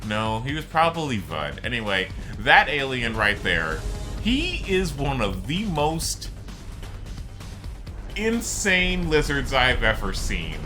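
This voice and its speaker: A man's voice: medium pitch, huge pitch swings, slow, pushed and bright, faint music behind. A man giving casual, rambling, explanatory commentary on a game during a live stream, as the streamer.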